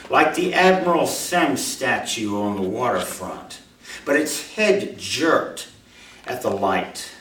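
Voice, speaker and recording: A man, a writer speaking to an audience at a live reading in an expressive way. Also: medium pitch. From Mobile, Alabama, USA. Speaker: writer reading his own short story. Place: indoor room at a live reading event. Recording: roomy, echoey hall, distant microphone.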